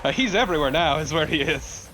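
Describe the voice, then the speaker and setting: A man's voice: on the high side, lively intonation, fast talker, over music, rough recording. A man co-commentating on a video game in casual chat, with a wry way of correcting people.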